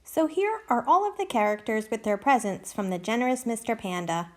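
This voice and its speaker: A woman's voice: a little high, animated, a little fast. A woman reading a picture book aloud to children like a teacher, explaining and asking the listeners questions. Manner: instructive, asks listeners questions.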